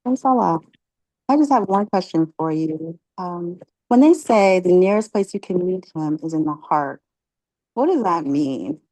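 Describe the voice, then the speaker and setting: A woman leads a religious class, asking catechism questions and praising the answers. Her voice sits low and sounds dry and close, and she pauses often with ums as she encourages her students. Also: expressive, warm tone, dry recording, poor audio. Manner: encouraging, halting with many ums.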